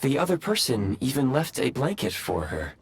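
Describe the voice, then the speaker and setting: A man's voice: mid-range voice, sing-song, normal speed, a little hiss or hum. A man voicing a self-aware pair of talking goggles in a video game, speaking formally and precisely. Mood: analytical.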